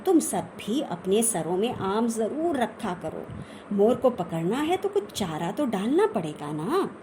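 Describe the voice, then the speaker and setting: A woman plays an excitable, boastful mother from a comic children's storybook. Her high voice swoops widely as she holds forth with showy emphasis. There is faint noise behind her. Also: measured pace. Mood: boastful, knowing. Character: boastful, excitable Indian mother, self-styled peacock-catching expert.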